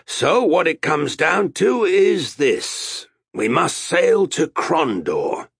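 A man's voice: fairly high pitch, big pitch swings, slow pace, fairly bright tone, husky, dry recording, clean studio sound, pitched above the performer's own voice, exaggerated voice. A man voicing a bold, blustery, threatening sea captain in an audiobook, with an emphatic theatrical delivery. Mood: firm persuasion. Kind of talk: audiobook character acting.

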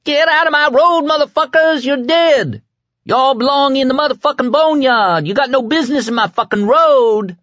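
A young hothead in an audiobook, his pitch thrown far up and swinging wildly. He swears, brags and bullies with explosive temper. The sound is dry and close. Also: very animated, moderate pace, fairly bright tone, husky, no echo, dull audio, exaggerated voice. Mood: furious. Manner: screaming, cursing, boastful and patronizing. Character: manic, foul-mouthed young man, swaggering, explosively bad-tempered bully.

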